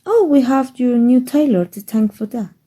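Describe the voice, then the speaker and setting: Woman acting a very dark, soft-voiced fairy-tale princess. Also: high voice, lively intonation, moderate pace, husky. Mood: proud, tender. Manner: soft, teasing, courtly phrasing. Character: kind, playful young princess in love, regally confident. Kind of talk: romantic ASMR roleplay, fairy-tale storybook acting.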